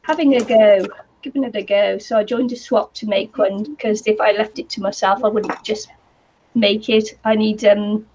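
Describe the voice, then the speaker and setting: A woman with a New Zealand accent chatting casually on a live craft stream, her voice croaky today, rambling with lots of ums and little laughs. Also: medium pitch, steady tone, moderate pace, darkish tone, a little hiss or hum. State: croaky voice from the heat. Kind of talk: casual chat while crafting. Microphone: computer or camera mic with a fan nearby. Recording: slightly dull lo-fi sound.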